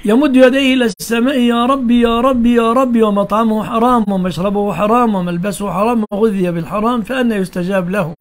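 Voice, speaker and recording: A man's voice: high voice, fairly even intonation, quick pace, few pauses. A man with an Egyptian accent hosting a live call-in religious question session, answering callers bluntly and questioning them back.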